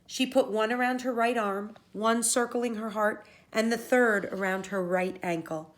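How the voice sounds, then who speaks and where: A woman with a fairly high voice reads aloud as a teacher would for children. She is expressive and explanatory in her storytelling. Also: unhurried.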